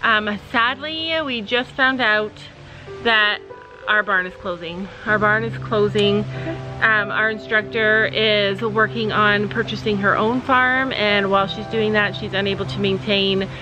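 A middle-aged woman speaks to her phone camera on a porch, her talk halting and full of sighs and ums. Her voice sits in a mid-range pitch. Clear background music plays under her words. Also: steady tone, poor audio. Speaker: family vlogger, mother. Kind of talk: casual vlog talk to camera. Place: outdoor porch. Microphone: phone held at arm's length.

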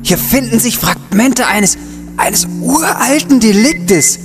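An actor playing an excitable, cheeky young student in a comic radio play, with an animated, theatrical delivery. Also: male voice, very high voice, takes it slowly, over music, exaggerated voice. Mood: excited. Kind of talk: German comedic audio drama acting.

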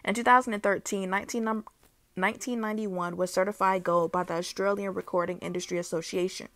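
A young woman narrates a biography for her channel at a mid pitch, reading steadily and now and then restarting a phrase. The sound is dry, with no room echo. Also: fairly even intonation, fast. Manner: reads steadily, with small restarts. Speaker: YouTube host. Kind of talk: read-aloud biography narration.

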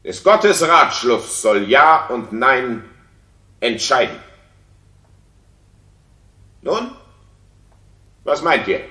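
Suspicious wrathful king, old 60s radio play, bright forceful voice in a roomy studio. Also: medium pitch, very animated, extremely slow, long pauses, full character voice. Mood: imperious, justifying. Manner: imperious, declamatory. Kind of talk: 1960s German radio drama acting.

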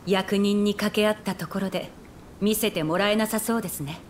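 A woman's voice: mid-range voice, fairly even intonation, fairly quick, slight background noise, natural voice. A woman playing a polite, earnest young physician in a Japanese video game, courteous and composed.

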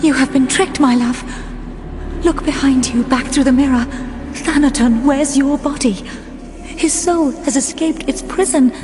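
An actress playing the sorrowful ghost of a dead elf lover, solemn and mournful, in an audio drama. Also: female voice, high voice, a little slow. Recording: long reverb, faint ambient noise.

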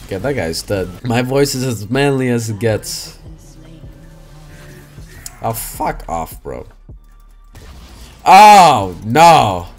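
A young man talks through his match in a loose, chatty way, breaking into loud, cursing outbursts. His voice sits at an ordinary middle pitch for a man. Faint music hums under him. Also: slow, long pauses. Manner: loud swearing outbursts, excitable. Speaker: game streamer. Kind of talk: casual gaming commentary. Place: small room indoors. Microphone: headset.

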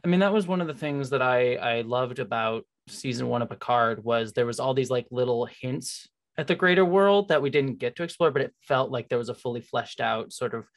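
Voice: medium pitch, animated, brisk. Effusive, rambling interview host. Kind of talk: enthusiastic fan interview, casual chat. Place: indoor room on a video call.

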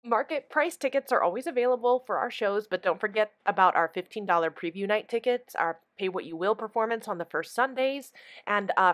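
Female theatre director, medium pitch, enthusiastic announcement style, emphatic. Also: fairly bright tone. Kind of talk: promotional announcement.

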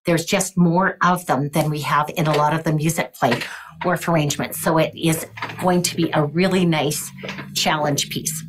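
An older woman music educator leading a webinar demonstration, her voice croaky, often clearing her throat and counting beats aloud. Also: low voice, steady tone, normal speed, noisy background.

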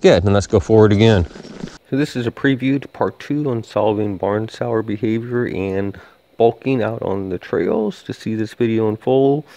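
A calm, plain-spoken man explains horse training from the saddle, his mellow voice caught by a head-mounted action camera outdoors. He talks at an easy pace with plenty of fillers. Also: lowish voice, normal speed. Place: outdoors on a wooded trail, riding a horse.

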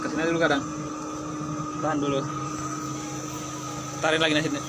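A man's voice: little pitch movement, normal speed. A man with an Eastern Indonesian accent giving casual running commentary as a miner filming on a handheld phone. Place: cramped underground mine tunnel. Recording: loud rumbling noise, handheld phone.

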